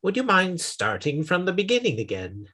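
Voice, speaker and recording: A man playing a dry, exasperated middle-aged boss in a 1950s-style radio comedy, with sarcastic, drawn-out lines. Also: high-pitched, wildly swooping pitch, bright, forceful tone, husky, full character voice.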